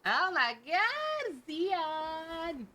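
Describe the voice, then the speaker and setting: A woman's voice: very high voice, very animated, extremely slow, very noisy. A woman streaming a game with casual, playful and sarcastic slangy reactions. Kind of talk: casual live reaction commentary.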